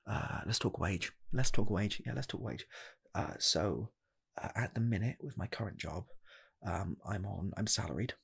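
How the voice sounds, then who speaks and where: A man performs an earnest, nervous young scientist pitching himself in a comedic ASMR roleplay. His voice is low, very breathy and husky with a gravelly fry. He speaks softly and haltingly, doubling back on his phrases. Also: exaggerated voice. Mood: awkward, hesitant. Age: 20s. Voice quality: soft, husky, gravelly, slipping into whispers. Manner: halting, stammering, repeats phrases. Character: eager, nervous rocket scientist applying for fast-food work. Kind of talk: comedic ASMR roleplay, improvised job interview.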